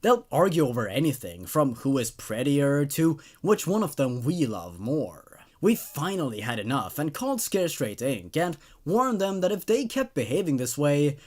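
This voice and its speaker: A man voicing a weary, doting father of twins, giving an earnest and dramatic infomercial testimonial in a story reading. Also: mid-range voice.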